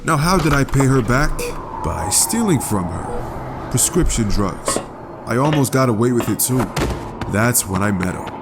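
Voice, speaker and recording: A man's voice: medium pitch, lively intonation, a little slow, over loud music. An actor playing a bitter, sardonic young man narrating his own origins in a dramatic comic dub. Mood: wry, bitter. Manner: sardonic, wry. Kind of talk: dramatic first-person narration.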